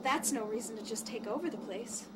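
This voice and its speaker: A woman acts a young female traveler in an audio drama. She is considerate, polite and anxious, with a high voice. The recording has clear noise behind her. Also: brisk, close to an everyday voice. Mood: concerned, fussy. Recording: clearly noisy background.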